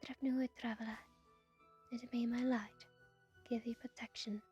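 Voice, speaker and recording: Woman as a soft, high spirit of light. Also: flat delivery, a little slow, faint music behind, close to an everyday voice. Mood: gentle, protective. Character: gentle protective female spirit of light. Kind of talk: ASMR roleplay voice acting.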